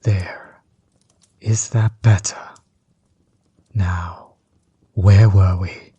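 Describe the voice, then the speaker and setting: Man playing a smug, husky villain overlord. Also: deep voice, steady tone, warm tone, dry recording, exaggerated voice. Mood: amused, taunting. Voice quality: husky, breathy, often dropping toward a whisper. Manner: mocking, condescending, drawn-out theatrical delivery. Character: smug, bitter male villain overlord, grudge against old companion. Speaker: voice actor. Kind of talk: scripted roleplay audio drama monologue.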